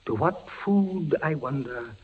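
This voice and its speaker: An actor playing an anguished French captain tortured by the Inquisition, in a theatrical, declamatory 1940s radio drama style. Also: male voice, on the high side, animated, slow pace. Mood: weary dread. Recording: noisy, dull vintage radio recording.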